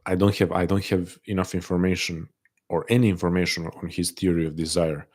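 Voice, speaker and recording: Deep monotone guy w a non-native accent, soft and hesitant, chatting on a livestream. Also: brisk, husky. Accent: non-native English, often taken for Russian. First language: not English. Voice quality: soft-spoken, hesitant, many restarts. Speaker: livestream host answering chat. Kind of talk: casual live chat.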